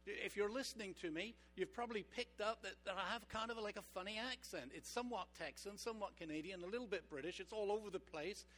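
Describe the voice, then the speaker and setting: A man preaching a sermon as pastor, engaging his congregation with rhetorical questions and slowing down for emphasis. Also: sits high, fairly bright tone. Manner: slows down for emphatic points.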